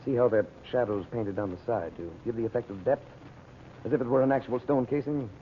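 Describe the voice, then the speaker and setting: Actor as a confident radio-serial adventurer, tinny recording. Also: male voice, mid-range voice, brisk, slight background noise, lightly acted. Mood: absorbed, musing. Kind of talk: old-time radio serial acting.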